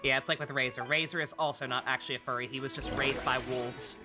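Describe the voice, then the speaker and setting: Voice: mid-range voice, steady tone, fast talker. A game streamer giving casual, rambling, jokey livestream commentary and laughing easily. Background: video game music.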